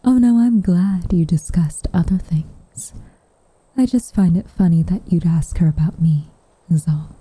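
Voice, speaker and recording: A woman playing a gentle, wistful witch in an intimate ASMR roleplay, speaking softly and half-whispered, in a measured, tender way. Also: on the low side, dry recording, low-quality recording. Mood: gently teasing. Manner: soft, breathy, tender, measured delivery. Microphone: close microphone.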